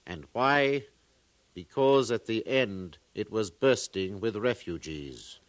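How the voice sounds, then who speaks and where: A man's voice: fairly low pitch, expressive, takes it slowly, no echo. A man playing a pompous, solemn far-future archaeologist in a 1950s radio drama, lecturing like an orator. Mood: solemnly certain.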